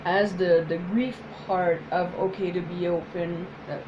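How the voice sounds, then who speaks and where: A woman whose first language is French, speaking as a psychotherapist in a soft, hesitant casual chat with many pauses. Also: on the low side, steady tone, muffled recording, noisy background. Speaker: psychotherapist, co-host.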